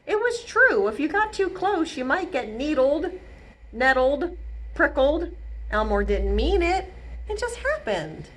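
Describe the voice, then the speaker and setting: A woman's voice: high-pitched, sing-song, measured pace, fairly bright tone. A woman reading a picture book aloud to young children as a storytime librarian, expressively, with simple asides explaining words and pictures.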